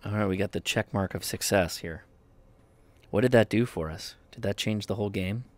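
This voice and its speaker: A man's voice: on the low side, normal speed, slight background noise. A man giving a casual hands-on product review, informally thinking aloud as he goes. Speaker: host of a radio gear review channel. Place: indoors at a desk.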